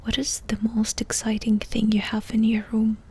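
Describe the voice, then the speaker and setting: A woman doing ASMR in a breathy whisper, softly and intimately asking questions with pauses between them. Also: fairly high pitch, completely flat delivery. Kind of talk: whispered ASMR personal-attention roleplay.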